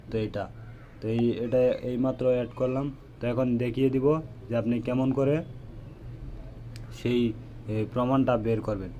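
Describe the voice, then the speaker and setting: A man's voice: mid-range voice, mellow, slight background noise. A man hosting a step-by-step phone app tutorial, addressing viewers directly in a repetitive, instructional way. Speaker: tutorial video host.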